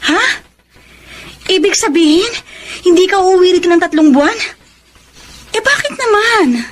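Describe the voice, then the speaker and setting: A woman's voice: very high-pitched, animated, moderate pace, slight background noise. A woman playing a long-suffering, resentful middle-aged wife and mother in a radio drama. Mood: dismayed.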